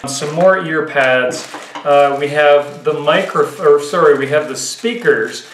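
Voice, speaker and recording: A man's voice: on the high side, unhurried, fairly bright tone. A man in his thirties giving a casual, explanatory gear commentary, thinking aloud in an informal way. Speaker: gear reviewer. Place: indoors, home living room at a table. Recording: roomy echo, clear background noise.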